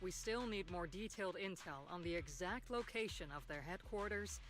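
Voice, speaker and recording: A man playing a composed military officer giving a formal, measured briefing in a video game. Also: sits high, fairly bright tone, soft background music. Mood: composed, businesslike.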